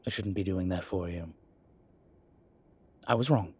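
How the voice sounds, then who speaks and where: Casting: male actor as age-old demon academic for audio roleplay, breathy and husky, unhurried, gruffly tender and contrite, close one-to-one delivery. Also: sits low, darkish tone. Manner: slow, halting, measured delivery with sighs. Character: ancient male demon professor, gruff but contrite and caring.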